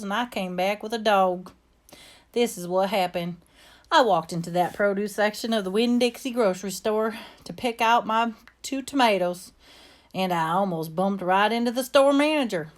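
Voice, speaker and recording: A woman's voice: medium pitch, close to an everyday voice. A woman voicing an earnest, plainspoken young girl with a Southern US accent, telling her story from a storybook. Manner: folksy, plainspoken. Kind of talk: first-person storybook narration.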